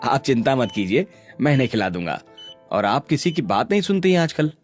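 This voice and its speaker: Man acting a lively, caring young tea seller. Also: medium pitch, a little fast, soft background music, natural voice. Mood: concerned. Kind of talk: cartoon story voice acting.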